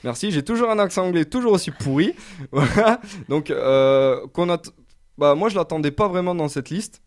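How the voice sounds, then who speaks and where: A man's voice: fairly high pitch, animated, moderate pace. Hesitant male radio host, casual. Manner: hesitant, many fillers and restarts. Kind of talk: casual chat between songs.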